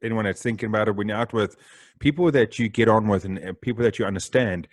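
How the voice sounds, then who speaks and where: A South African man hosting a podcast, talking loudly, fast and with excitement in casual interview chat. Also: fairly low pitch, dry recording, studio quality. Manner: loud, excitable, talks fast. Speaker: podcast host.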